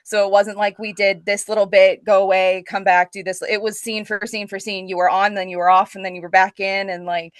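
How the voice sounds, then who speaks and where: A woman speaks as a guest in a casual podcast conversation. Her pitch is middling and the sound is dry, with little room to it. She talks like a performer, enthusiastic and gushing about her work. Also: level tone, brisk, few pauses, no echo. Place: remote video call.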